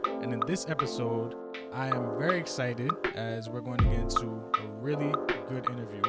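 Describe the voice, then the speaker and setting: A man hosting a podcast interview, introducing his guest with enthusiasm and an easy, friendly laugh. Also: medium pitch. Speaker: podcast host.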